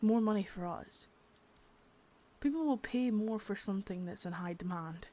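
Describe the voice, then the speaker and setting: A young woman doing an ASMR roleplay as a cold, contemptuous female boss of a drug ring, menacing and swearing, soft-spoken with bits of whisper. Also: medium pitch, lively intonation, moderate pace, lightly acted. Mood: cold contempt. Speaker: ASMR creator.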